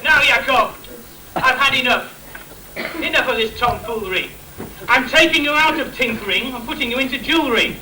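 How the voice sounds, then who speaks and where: A man's voice: extremely high pitch, a little fast, sharp tone, slight background noise, heavily acted. A man playing an exasperated, scolding father in an amateur rhyming pantomime, declaiming theatrically. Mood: disappointed, fed up. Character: exasperated, scolding father of a bubble-obsessed boy. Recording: distant, echoey amateur stage recording.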